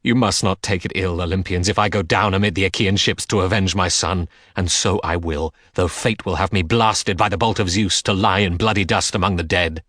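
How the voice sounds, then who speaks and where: A vengeful war god, played by a male reader, groans with grief and defiance. The voice is very low, in a dry epic audiobook recording. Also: fairly even intonation, brisk, hardly any pauses, a bit bright, no room sound. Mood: grieving defiance. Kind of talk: dramatic audiobook character reading.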